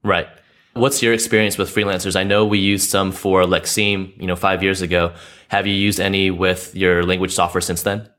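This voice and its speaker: Man, very low voice, measured explainer, casual chat. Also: level tone, a bit bright.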